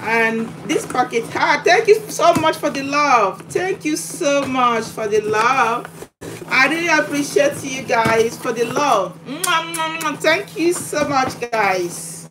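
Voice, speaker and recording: A woman chatting casually to her livestream viewers while unboxing, halting and repeating herself, recorded in a home kitchen. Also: high-pitched, lively intonation. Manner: halting, repetitive, chatty delivery. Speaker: livestream vlogger. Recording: noisy home recording with clicks.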